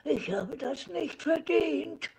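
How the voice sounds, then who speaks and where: An actor playing a whining, self-pitying old evil wizard in a children's audio drama. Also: male voice, extremely high pitch, lively intonation, takes it slowly, gravelly, darkish tone, full character voice. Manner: whiny.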